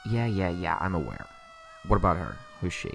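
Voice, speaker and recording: A man acts out a sardonic police detective with a low voice that swings widely in pitch. He is cocky and world-weary, needling people with casual sarcasm in a cyberpunk audio drama. Street noise and sirens sit behind him. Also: big pitch swings, a bit bright, exaggerated voice. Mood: sardonic, suspicious. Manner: sarcastic, taunting, casual drawn-out jabs. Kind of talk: immersive cyberpunk audio roleplay acting. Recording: clear background noise, sirens and street ambience.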